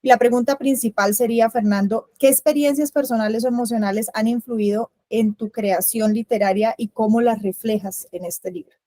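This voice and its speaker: Woman hosting a chat, mellow and kinda high voice, calm and explains a lot, dry sound. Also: moderate pace, no room sound. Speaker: host. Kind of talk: welcoming interview hosting.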